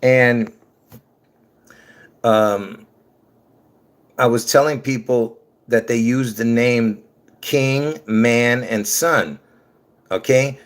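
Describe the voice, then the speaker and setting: A man from Lebanon talks straight to his viewers in a loose, rambling monologue. His voice sits in an ordinary middle pitch. He stops and restarts his sentences often. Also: very slow, pauses often. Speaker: conspiracy video creator. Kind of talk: rambling casual monologue to camera.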